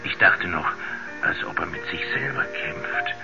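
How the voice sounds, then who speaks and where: An actor playing a shaken witness who hesitantly recalls an uncanny encounter in a 1970s radio drama. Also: male voice, unhurried, close to an everyday voice. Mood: uneasy, recalling. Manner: hesitant, searching for words. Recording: muffled 1970s radio play recording with music.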